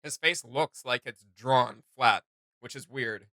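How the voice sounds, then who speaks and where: A man's voice: medium pitch, slow, bright, forceful tone, dry recording, clean studio sound. A man hosting a fan reaction show, giving casual, slangy and enthusiastic commentary.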